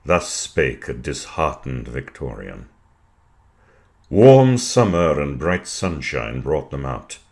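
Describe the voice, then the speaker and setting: A man reading poetry in a measured, dramatic style, with deliberate, precise phrasing and weighted pauses. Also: rumbling low, very animated. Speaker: poetry reader.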